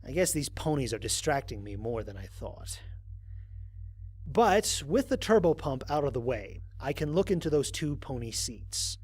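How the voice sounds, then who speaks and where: A man playing a wry, grumbling human astronaut who records mission logs in an audio drama, speaking in a conversational, expressive way. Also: a little high, breathy edge. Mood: weary, self-critical. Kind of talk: dramatized first-person log narration.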